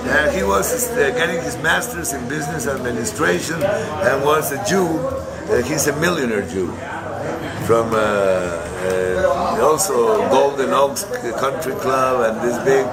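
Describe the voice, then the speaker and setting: Gravelly older man. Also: on the high side. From Guatemala. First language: Spanish. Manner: halting, many restarts, slangy. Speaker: interviewee. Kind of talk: rambling life-story interview. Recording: crowd noise behind. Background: busy crowd chatter.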